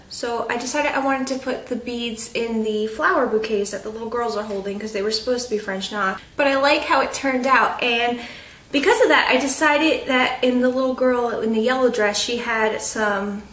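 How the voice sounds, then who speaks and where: A young woman with a stuffy, blocked nose talks through a casual home update, rambling and restarting with plenty of fillers. Her voice sits a bit high, and she is heard from a distance in a roomy, echoing space. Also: normal speed, a bit bright. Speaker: craft vlogger. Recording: roomy echo, off-mic.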